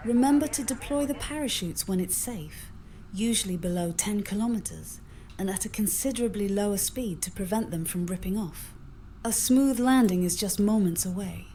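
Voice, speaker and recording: A synthetic woman's voice, an AI copilot giving measured, composed advice in tidy full sentences. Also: medium pitch, dark tone, slight background noise. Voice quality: synthetic text-to-speech voice. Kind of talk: measured instructional guidance.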